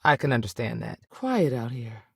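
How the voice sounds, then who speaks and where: A woman's voice: extremely low pitch, very animated, moderate pace, gravelly, husky, no room sound, full character voice. A woman playing a strict, principled frontier ranger in a video game, plain-spoken and authoritative with a folksy turn. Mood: steady, firm. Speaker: video game character actor. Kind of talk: scripted game dialogue.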